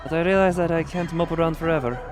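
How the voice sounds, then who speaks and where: A man's voice: a little high, quick pace. A man hosting a game livestream, chatting and commenting as he reads along. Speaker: livestream host. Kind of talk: livestream game commentary, reading story text aloud and chatting. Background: game music.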